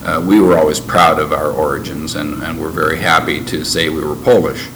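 Deep-voiced older man, slow and reflective. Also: flat delivery. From Canada. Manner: measured, reflective, with frequent pauses and restarts. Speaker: interviewee. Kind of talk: oral history interview. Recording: noisy background ambience.

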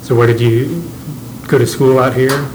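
Man asking questions in a flat voice. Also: medium pitch, moderate pace. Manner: brief, attentive questions and short acknowledgments. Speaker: interviewer. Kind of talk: oral history interview. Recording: noisy background ambience.

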